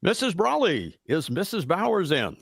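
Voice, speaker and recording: A man's voice: high-pitched, sing-song, moderate pace, creaky, fairly bright tone, husky, full character voice. A man playing a mild, apologetic husband on the telephone in an old-time radio drama. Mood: sheepish.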